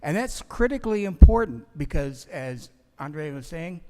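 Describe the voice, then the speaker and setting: A man lecturing from a podium in a museum auditorium, projecting his voice in a plain, steady way. Long reverb from the hall hangs behind his words. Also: a little high, normal speed. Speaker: conference speaker, museum historian. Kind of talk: public lecture. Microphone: podium microphone.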